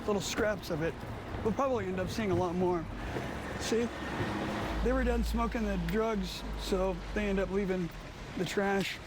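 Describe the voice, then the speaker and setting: A man's voice: sits high, normal speed, long pauses. An American man, a street cleanup worker, chatting casually about his job outdoors. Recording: loud street and vehicle noise.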